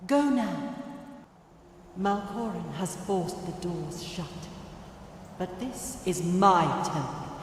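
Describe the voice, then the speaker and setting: An ageless female divine spirit in a video game, solemn and imperious, issuing slow, grand decrees. Her voice rings with heavy echo and a long hall-like tail. It sounds distant from the mic. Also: fairly low pitch, animated, a little hiss or hum. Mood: commanding. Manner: commanding, speaks in decrees. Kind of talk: video game character dialogue. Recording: echoey game audio heard from far off.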